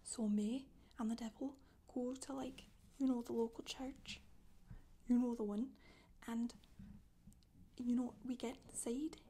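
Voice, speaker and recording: Soft-spoken Scottish witch, female performer, chatty ASMR storyteller, higher-pitched but husky-breathy, deadpan. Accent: put-on Scottish. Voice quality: breathy, whispery. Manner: hesitant, colloquial, deadpan. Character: chatty, casual Scottish witch recounting her misdeeds.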